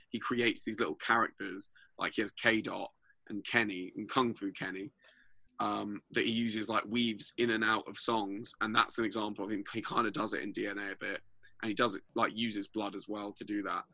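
Fairly low man, a bit rough-voiced. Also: bright, forceful tone, dry recording. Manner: enthusiastic, sweary, filler-heavy. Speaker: podcast co-host. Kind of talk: casual music podcast chat.

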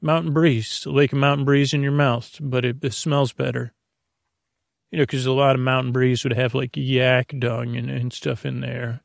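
Creaky-voiced rambling man. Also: mid-range voice, little pitch movement, no echo, studio quality. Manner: meandering, halting, self-interrupting, goes off topic. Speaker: podcast host. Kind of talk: rambling bedtime podcast monologue.

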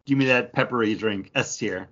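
A man's voice: fairly low pitch, moderate pace, fairly bright tone. An American man chatting casually as a podcast co-host. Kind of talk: casual chat. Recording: dull lo-fi voice recording.

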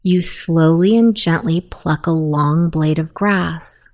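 A woman narrating a guided sleep meditation in a soothing, softly spoken, unhurried manner. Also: low-pitched.

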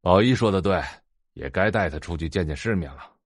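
Low-voiced, domineering family patriarch. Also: male voice, animated, a little fast, no echo, studio quality. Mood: authoritative. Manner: commanding, severe. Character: stern, domineering middle-aged patriarch of a wealthy family, cold and threatening.

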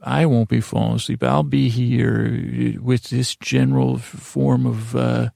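A man hosting a bedtime podcast in a gravelly voice, with a meandering, halting monologue that keeps interrupting itself and wandering off. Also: medium pitch, little pitch movement, mellow, no echo. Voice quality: gravelly, creaky. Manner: meandering, halting, self-interrupting, trails off on tangents. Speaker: podcast host.

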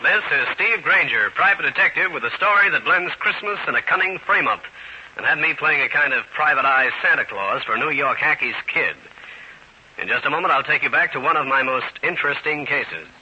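An actor playing a wisecracking but good-hearted American private eye, narrating and acting in an old-time radio drama. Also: male voice, on the high side, lively intonation, brisk, pushed and bright, slightly rough, lo-fi sound, exaggerated voice. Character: wisecracking, good-hearted tough New York private detective.

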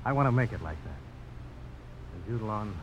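A man playing an earnest, bold frontier gentleman in a 1950s radio drama, speaking with deliberate self-assurance. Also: on the low side, lively intonation, close to an everyday voice. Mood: earnest, ardent. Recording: noisy old radio broadcast.

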